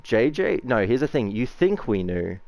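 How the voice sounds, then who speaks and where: A man's voice: medium pitch, sing-song, normal speed, gravelly, darkish tone. A young man, a game player, arguing bluntly and swearing as he talks over the other player. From Australia. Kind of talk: heated in-character argument.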